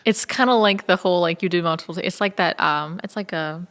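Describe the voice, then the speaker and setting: A woman with a bright, forward voice in a casual behind-the-scenes chat. She is animated and giggly, often acting out short asides as she explains things. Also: lowish voice, fairly quick, long reverb tail. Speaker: voice actor and podcast panelist.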